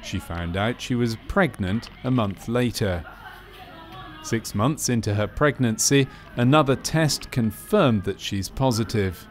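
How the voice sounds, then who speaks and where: A man reading scripted narration for a medical training film in a measured, matter-of-fact way. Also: medium pitch, faint music behind.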